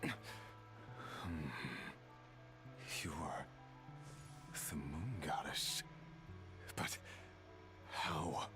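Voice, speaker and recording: An actor playing a brooding, remorseful fallen villain in a video game, speaking slowly and solemnly with halting phrases. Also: male voice, a bass voice, animated, full character voice. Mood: weary. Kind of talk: dramatic video game dialogue. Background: music.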